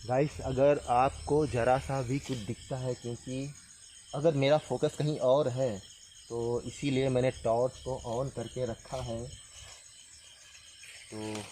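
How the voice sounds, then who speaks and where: A man from northern India hosting a ghost-hunting vlog, speaking earnestly and haltingly, repeating himself as he addresses spirits and viewers. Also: medium pitch, mellow. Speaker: paranormal vlog host. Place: outdoors at night by a tree. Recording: noisy outdoor night recording with insect sounds.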